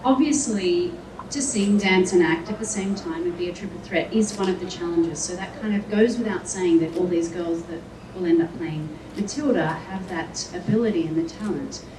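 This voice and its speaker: A woman's voice: lowish voice, normal speed. A woman from a theatre production, answering interview questions in a thoughtful, rambling way. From Australia. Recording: echoey, distant, noisy ambience.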